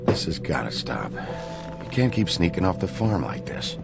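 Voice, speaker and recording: A man voicing a gruff, weary wolf sheriff in a video game, terse and low-key with a gravelly voice. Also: sing-song, moderate pace, over loud music, full character voice. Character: weary, gruff sheriff, the Big Bad Wolf in human form.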